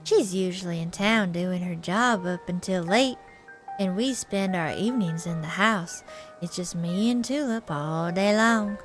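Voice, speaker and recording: A woman voicing a mild, earnest male pastor in a visual novel, gentle, wordy and prim, with music behind. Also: lowish voice, measured pace, close to an everyday voice. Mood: worried, earnest. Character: mild, earnest male small-town pastor, fretful and devout.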